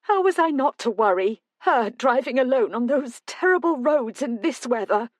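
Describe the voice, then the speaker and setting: A woman voicing an older mother who is frightened and tearful, talking in a tremulous, rushed outpouring. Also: high-pitched, animated, no echo, studio quality, voice pushed up. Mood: distraught.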